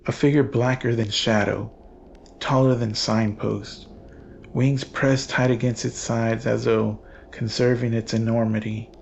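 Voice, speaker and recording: A man narrating a scripted horror story in a solemn, measured, portentous manner with dramatic pauses. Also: mid-range voice, little pitch movement, gravelly, darkish tone, dull audio, a little hiss or hum.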